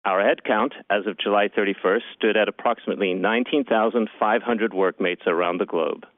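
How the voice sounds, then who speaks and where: Guy with a lowish voice reading company results, measured and formal, dry sound over a conference phone line. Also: no room sound. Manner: formal, measured delivery of figures. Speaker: company finance executive. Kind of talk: scripted earnings call remarks.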